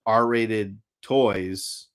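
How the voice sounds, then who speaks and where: A man's voice: fairly low pitch, fairly even intonation, extremely slow. A man hosting a fan show in casual chat, rambling and hesitant, with some swearing. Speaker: host of a live fan show.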